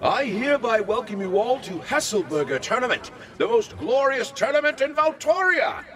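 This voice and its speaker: A man playing a pompous, theatrical lord in a medieval fantasy game, with grandiose, drawn-out, florid delivery. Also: extremely high pitch, very animated, fairly bright tone, heavily acted. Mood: grand, proclaiming. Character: pompous, theatrical powerful lord and tournament host.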